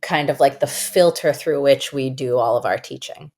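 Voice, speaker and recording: A woman whose first language is Farsi speaks with a notably low, deep pitch. She talks as a guest in a podcast interview, thoughtful and emphatic, drawing out words to stress a point. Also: moderate pace, a little mellow. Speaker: guest educator and author. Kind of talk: conversational interview.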